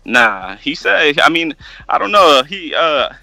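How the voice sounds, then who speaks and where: Bright, forceful male fighter calling in. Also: a little high, big pitch swings, takes it slowly, gravelly, dry recording, faint music behind. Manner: rambling, loose with fillers. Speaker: caller, fighter. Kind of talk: casual call-in chat.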